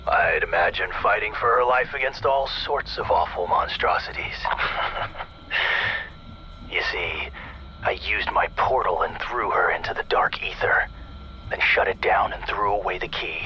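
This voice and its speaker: An actor playing a cruel, smug scientist villain in a video game, speaking with sardonic mock politeness. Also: male voice, sits low, measured pace. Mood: smug, gloating. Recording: muffled radio sound, faint noise.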